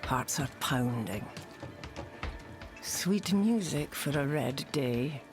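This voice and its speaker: An actress playing a hardened village warrior woman in a fantasy game, blunt, wry and unhurried. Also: rumbling low, animated, music in the background, heavily acted. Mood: sardonic. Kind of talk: dramatic fantasy game acting.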